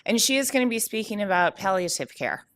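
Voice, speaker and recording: A woman's voice: medium pitch, lively intonation, quick pace, a bit bright. A woman hosting an event, giving an informal introduction of a speaker to the room.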